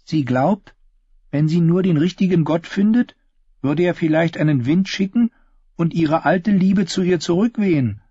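A man's voice: a little high, a little slow, warm tone, breathy edge, no room sound, muffled recording, pitched above the performer's own voice. A man voicing a grim, ominous one-eyed woman in an audiobook. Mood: grim, knowing. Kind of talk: audiobook character voice.

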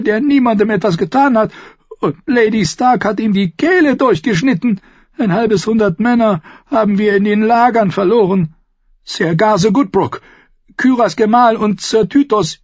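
A man's voice: dry recording, dull audio. A man voicing a terrified, pleading captive in an audiobook, stammering and whining in desperate self-excuse. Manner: stammering, whining, shrill when panicked. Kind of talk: audiobook character voice.

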